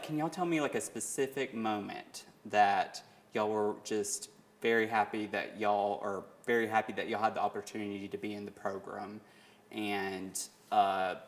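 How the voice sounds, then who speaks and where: A man hosting an interview, asking relaxed questions and saying "y'all" often. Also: lowish voice, creaky. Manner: relaxed, Southern "y'all" phrasing. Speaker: interview host.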